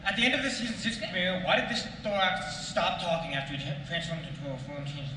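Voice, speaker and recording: A man's voice: on the high side, fast. A man asking questions casually as an eager, slightly flustered fan in the audience. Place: convention panel room. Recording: very echoey hall from far off, faint noise.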